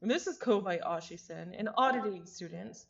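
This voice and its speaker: A woman voicing a polite, reassuring young male teaching assistant in a visual novel, with measured, formal phrasing. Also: medium pitch, gravelly, muffled recording, voice pushed down, lightly acted.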